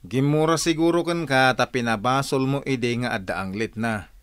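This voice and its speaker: A man's voice: medium pitch, moderate pace, clear, resonant tone, dry recording, natural voice. A man playing a scolding village husband in an Ilocano radio drama, speaking with an Ilocano accent in a lecturing way, with faint music in a dry studio.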